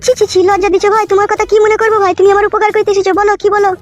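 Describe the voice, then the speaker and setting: A woman voicing a jealous, scheming village housewife in a cartoon, shrill and bossy. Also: flat delivery, very fast, soft background music. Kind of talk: cartoon voice acting.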